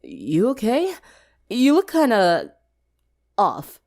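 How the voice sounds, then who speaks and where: A woman voicing a nervous, casual teenage girl in a story reading, halting and hesitant as she speaks. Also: on the high side, huge pitch swings, darkish tone, voice pushed up, full character voice. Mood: uneasy.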